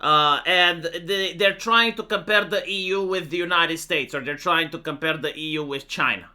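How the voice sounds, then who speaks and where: Bright-voiced young man with Romanian accent. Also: fairly high pitch, normal speed. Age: young adult. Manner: opinionated, matter-of-fact, many fillers. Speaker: political commentator. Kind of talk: casual opinion monologue.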